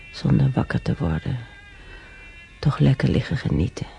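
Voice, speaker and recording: An old woman in a hospital radio drama. Her voice is gravelly and creaky, with a homely, rambling delivery. Music plays softly underneath. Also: very deep voice, huge pitch swings, slow pace, full character voice. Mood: dreamy, wistful. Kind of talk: Dutch radio play acting.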